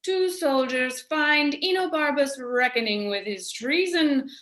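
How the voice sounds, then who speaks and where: A woman's voice: high voice, a little slow, fairly bright tone. A woman giving scripted, dramatic narration for a radio play, recorded in a roomy space. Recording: roomy, echoey sound.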